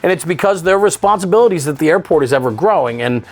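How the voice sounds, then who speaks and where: A man's voice: on the high side, expressive, fast, a little mellow. A man hosting a studio interview, explaining things in an enthusiastic way. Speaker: show host interviewing a guest. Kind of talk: studio interview about fire apparatus.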